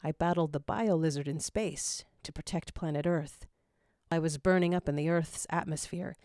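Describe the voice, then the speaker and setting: A woman's voice: low voice, normal speed, darkish tone, no echo, clean studio sound. Woman voicing a proud, brooding hedgehog hero. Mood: earnest.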